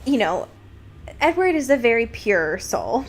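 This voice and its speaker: A woman with a fairly high voice co-hosts a casual podcast about a book. She retells scenes in an amused, conversational way, often dropping into quoted dialogue and padding it with small filler words. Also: very animated, unhurried, slight background noise. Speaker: podcast co-host. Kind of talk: casual book discussion chat.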